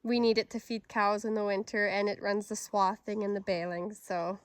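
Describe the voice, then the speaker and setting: A woman's voice: mid-range voice, flat delivery, moderate pace, smooth, dry recording. A woman farmer with a cold, chatting casually as she explains her baler outdoors on a field. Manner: chatty and explanatory, a bit self-deprecating. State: has a cold. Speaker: farmer showing new equipment. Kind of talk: casual explanatory vlog chat. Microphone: handheld camera she films with. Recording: loud tractor engine noise behind.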